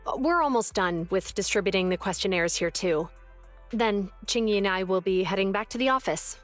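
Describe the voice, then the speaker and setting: A woman's voice: medium pitch, normal speed, faint music behind, lightly acted. A woman playing an earnest, friendly young public security officer in a video game, speaking sincerely. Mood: friendly, calming. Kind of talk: video game character acting.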